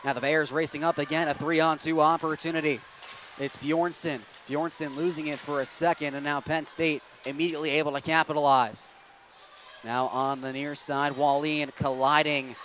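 A young man calling ice hockey play-by-play with rapid, steady narration that rises to excited shouts on goals, on a headset mic in an arena booth. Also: a little high, a little hiss or hum. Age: young adult. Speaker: play-by-play announcer.

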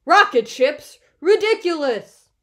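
A woman's voice: medium pitch, normal speed, natural voice. A woman voicing a pompous, grumpy, stubborn male bear from a children's storybook. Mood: indignant, shouting. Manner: pompous, haughty, loudly protesting.

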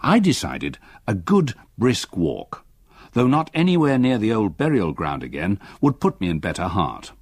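An elderly retired English solicitor telling a ghost story for radio. His voice is low and husky, with lively movement in pitch. The delivery is grave, reflective and literary, each sentence carefully measured. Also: no room sound, clean studio sound, full character voice. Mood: brooding. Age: older. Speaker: narrator. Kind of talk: literary first-person radio drama narration.

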